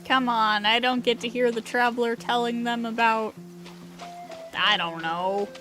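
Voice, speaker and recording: Female game streamer, slightly high voice, slow easy pace, casual playful reactions over game music. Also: fairly even intonation, pushed and bright.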